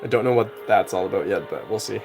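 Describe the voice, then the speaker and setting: Young male streamer, lowish voice, easygoing and rambling, heavy on filler words. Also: fast, a little mellow, faint music behind. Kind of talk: casual live-stream chat while gaming.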